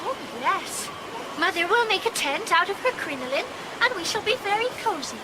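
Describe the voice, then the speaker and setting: A woman's voice: extremely high pitch, sing-song, moderate pace, background noise. A woman playing a bold, fanciful young girl in a period radio drama, with a precise, prim and cheeky manner. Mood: assured, cheeky. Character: bold, fanciful young girl speaking for her silent mother.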